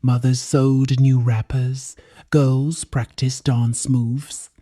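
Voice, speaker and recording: A woman's voice: extremely low pitch, level tone, slow pace, dark tone, no room sound, clean studio sound. A woman storyteller reading a scripted folktale as a dramatic narration.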